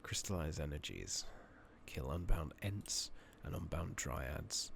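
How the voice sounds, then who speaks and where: Deep, creaky, soft-spoken man doing gaming ASMR. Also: expressive, takes it slowly. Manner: casual, rambling, swears mildly. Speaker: game streamer playing an online fantasy game. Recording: noisy makeshift microphone, clear background noise.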